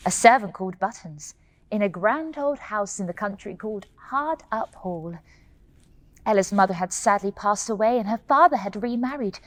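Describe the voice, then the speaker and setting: A woman telling a children's bedtime fairy tale in an expressive, playful way, laughing often. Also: mid-range voice, dry recording. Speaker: storyteller. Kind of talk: children's bedtime story reading.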